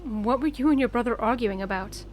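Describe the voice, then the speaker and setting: A woman's voice: fairly high pitch, brisk, darkish tone, a little hiss or hum, close to an everyday voice. A woman playing an outgoing, no-nonsense friend in a scripted comedy audio drama. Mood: direct.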